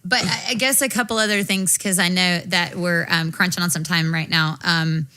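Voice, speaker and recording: An American woman co-hosting a casual panel chat, talking briskly and frankly, swearing casually as she gives advice. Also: lowish voice, steady tone, sharp tone. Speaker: podcast co-host.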